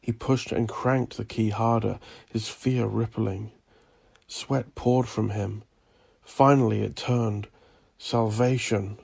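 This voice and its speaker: A man reading dark horror fiction as a narrator, in a brooding, ominous and theatrical manner. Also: on the low side, fairly even intonation, slow, creaky, husky. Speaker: narrator of a horror audio drama. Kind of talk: dramatic gothic scripted narration.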